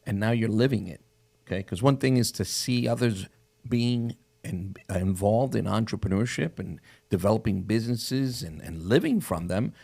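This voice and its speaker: A man's voice: fairly low pitch, moderate pace, vocal fry, dark tone, husky. A man hosting a real estate show as a casual interview, with a joking, conversational manner.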